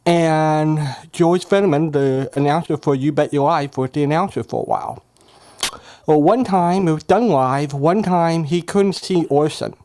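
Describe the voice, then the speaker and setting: A man on a panel telling anecdotes casually, in short, halting, plain phrases. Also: on the high side, very animated, darkish tone.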